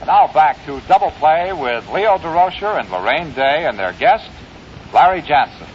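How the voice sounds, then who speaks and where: A man's voice: a little high, lively intonation, normal speed. An American man announcing a radio program in a scripted 1950s style. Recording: dull, noisy early 1950s radio recording.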